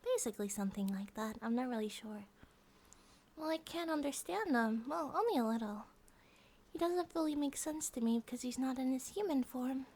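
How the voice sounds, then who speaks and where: Woman acting out a high-voiced doting bear-girl wife. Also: lively intonation, normal speed. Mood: excited, playful. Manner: gentle, doting, hesitant rambling with soft giggles. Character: affectionate, playful young grizzly bear wife monster girl. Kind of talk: ASMR roleplay, intimate and partly whispered.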